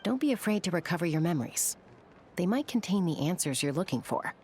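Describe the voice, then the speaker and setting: A woman playing a caring, thoughtful young woman in a video game, steady and reassuring. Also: fairly low pitch, a little fast, slight background noise.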